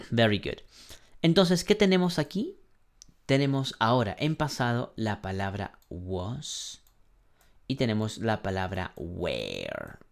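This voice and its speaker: Male online English teacher, example-heavy explanations, frequent throat clearing. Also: fairly low pitch, sing-song, takes it slowly. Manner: explains with many examples and rhetorical questions; clears his throat often. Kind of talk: online lesson.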